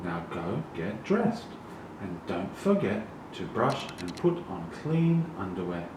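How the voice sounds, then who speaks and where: A man's voice: lowish voice, sing-song, slow pace. Man as a fussy mother frog, echoey. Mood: coaxing. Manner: coaxing, instructing. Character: mother frog, fussy, patient, gently bossy. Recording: very echoey, distant, noisy room.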